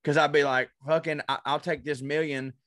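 A man in his thirties co-hosting a podcast, talking excitably and profanely with lots of laughs over a video call. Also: on the high side, fairly quick, dry recording, studio quality. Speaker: podcast co-host. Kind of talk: casual chat. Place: small home room. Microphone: headphones, desk mic on video call.

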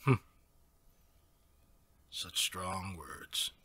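Smug werewolf alpha guy voice acting, slow n deep, talks down to you, raspy, asmr roleplay. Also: pushed and bright, full character voice. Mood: condescending, veiled threat. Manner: slow, condescending, mock-courteous. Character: menacing, smug male werewolf pack alpha. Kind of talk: ASMR audio drama acting.

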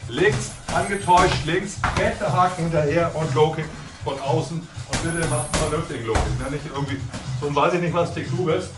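Joking kickboxing coach in an echoey gym. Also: male voice, a little high. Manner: brisk, joking, counts out combinations. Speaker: kickboxing trainer. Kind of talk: coaching a training session. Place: large indoor gym. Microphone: far camera. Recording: echoey gym, far from the camera, loud music. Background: loud music.

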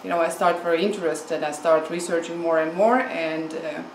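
A woman in her forties from Hungary with a strong Hungarian accent, answering interview questions in a halting way with many fillers, recorded indoors at home on a lapel mic. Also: on the low side, fairly even intonation, roomy sound, a little hiss or hum, rough recording. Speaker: home microgreens grower. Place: home room with window, indoors.

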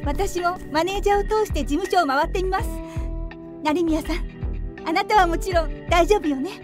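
A woman's voice: very high-pitched, moderate pace, over music. A woman playing a refined, commanding actress with formal, ladylike, insistent speech in a Japanese audio drama. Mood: poised, decisive. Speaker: voice actor.

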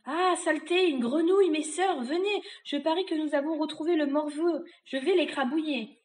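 A woman's voice: normal speed, darkish tone, lightly acted. A woman voicing a nasty, spiteful old witch who exclaims and scolds in a storybook tale. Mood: furious. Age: older. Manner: shrill, exclaiming, scolding and cursing.

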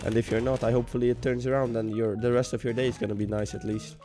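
Young male streamer, Hungarian accent, mid-pitched, loose rambling chat with sudden yells, soft music behind. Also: very fast. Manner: rambling, joking, reads chat messages aloud, sudden excited yells. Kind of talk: casual gaming livestream chat. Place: home room.

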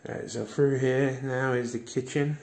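Gravelly man giving a walking tour. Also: medium pitch, flat delivery, a little slow, darkish tone. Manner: chatty, pointing things out as he walks. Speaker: vlogger giving a property tour. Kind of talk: casual walkthrough narration. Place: outdoors on rural land, then inside a farmhouse. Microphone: phone camera held at arm's length.

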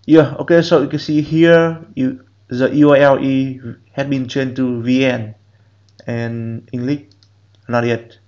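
A man whose first language is Vietnamese, giving halting step-by-step instructions in a screen-recorded software tutorial. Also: mid-range voice, flat delivery, dull audio. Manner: slow, halting step-by-step instructions in non-native English. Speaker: programming instructor.

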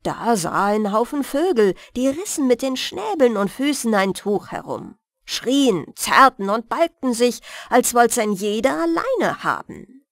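Expressive high-voiced woman reading bedtime tales. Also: unhurried, dry recording, studio quality. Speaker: audiobook narrator. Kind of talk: bedtime fairy-tale reading.

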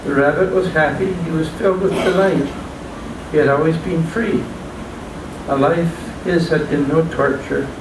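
Older man, open mic poet, somewhat high voice, halting plain reading, echoey restaurant, distant mic, background hum. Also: fairly even intonation, creaky. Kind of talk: reading his own poems aloud. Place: small restaurant, indoors. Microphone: stand mic, filmed from a far camera.

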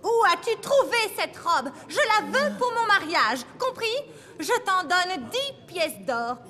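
A woman's voice: extremely high pitch, big pitch swings, a little slow, bright, forceful tone, long reverb tail, heavily acted. A woman voicing a spoiled, bossy young villainess princess in a French cartoon dub, imperious and haughty. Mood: haughty, insistent.

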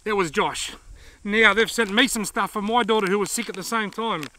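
An Australian man in his forties, chatting casually to camera outdoors as a vlog host, friendly and quick to laugh. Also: sits high, bright, forceful tone, a little hiss or hum. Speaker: fishing channel host. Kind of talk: casual vlog reading viewer mail. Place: outdoors in dry open woodland. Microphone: camera close up at arm's length.

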